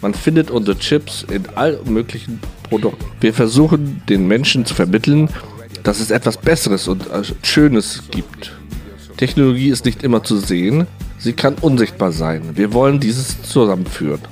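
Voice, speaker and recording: A man's voice: mid-range voice, lively intonation, normal speed, darkish tone. A man reading a scripted voice-over translation of an interview. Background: music.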